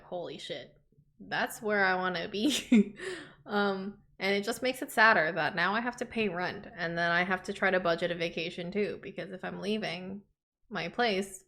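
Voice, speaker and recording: A woman's voice: mid-range voice, fairly bright tone. A young adult woman chatting informally as a podcast co-host. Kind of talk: casual chat.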